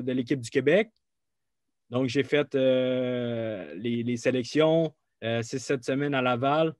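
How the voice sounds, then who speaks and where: A man's voice: medium pitch, no echo. Young Québécois man, guest athlete, hesitant, dry close recording. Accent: Québécois French. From Quebec. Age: young adult. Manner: hesitant, many fillers and restarts. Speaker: guest, college soccer player. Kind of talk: casual interview.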